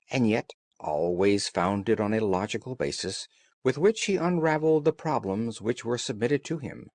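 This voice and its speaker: A male narrator reads a classic-style story aloud. His delivery is expressive, with lively movement in pitch, and the sound is close and dry. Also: no room sound. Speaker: audiobook narrator.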